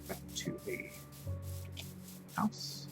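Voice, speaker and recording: A man in his twenties, a streamer chatting casually and joking at his own expense while he plays. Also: drawn-out words. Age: 20s. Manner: rambling, self-mocking, chatty. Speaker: Twitch streamer. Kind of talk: casual gameplay commentary. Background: game music.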